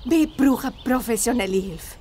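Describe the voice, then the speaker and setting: A woman playing a fussy, anxious, refined lady in a children's audio drama, affected and drawn-out. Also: fairly high pitch, lively intonation, warm tone. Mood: concerned.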